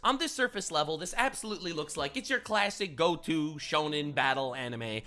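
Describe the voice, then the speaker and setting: A man's voice: on the high side, a little fast, pushed and bright. A man giving earnest, explanatory narration for a scripted video essay.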